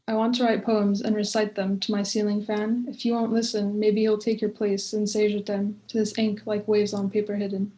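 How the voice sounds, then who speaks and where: A woman's voice: medium pitch, little pitch movement, moderate pace, hardly any pauses, mellow, low-quality recording. A woman reading a poem aloud in an understated way. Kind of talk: poetry recitation.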